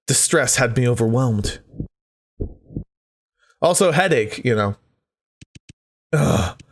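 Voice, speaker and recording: A man doing a let's play, dramatically reading visual novel text aloud and breaking off for joking asides. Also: medium pitch. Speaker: gaming video host.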